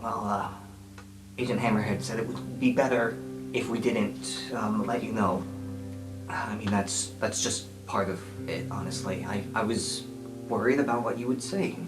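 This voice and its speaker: A man voicing an awkward, apologetic male guidance counselor and caretaker in a halting, sheepish manner, improvised for a tabletop roleplay podcast. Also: lowish voice, steady tone, lightly acted. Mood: hesitant confession. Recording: roomy, with guitar music behind.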